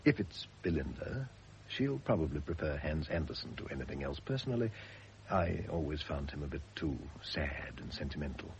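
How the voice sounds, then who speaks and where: Radio play, male gentle doomed husband, reflective and literary, deep register, slightly noisy old recording. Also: sing-song, moderate pace, heavily acted. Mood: wistful, tender. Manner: literary, reflective, tender, with whispered drops. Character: condemned husband, gentle, reflective, calmly facing death. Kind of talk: classic radio drama acting.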